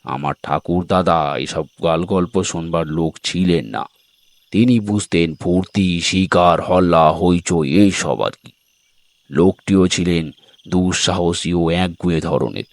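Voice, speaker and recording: A man with a deep voice tells a scripted tale as a storyteller. The sound is dry and close, with a dramatic narrative delivery. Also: unhurried, no echo.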